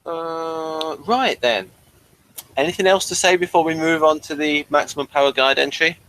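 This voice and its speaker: A man's voice: fairly high pitch, moderate pace, a bit bright, smooth. A man hosting a review show in a casual, conversational style. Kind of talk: casual chat.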